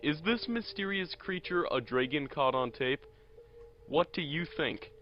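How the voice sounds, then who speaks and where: A man's voice: fairly high pitch, expressive, a little slow, soft background music. A man reading scripted narration for a viral news clip. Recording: dull lo-fi playback with faint noise.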